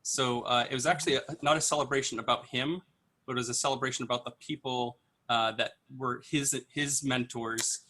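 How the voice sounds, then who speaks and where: Halting bright-voiced man. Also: medium pitch, fairly even intonation. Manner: halting, full of uhs and you knows. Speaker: panelist. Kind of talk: casual group chat over a call.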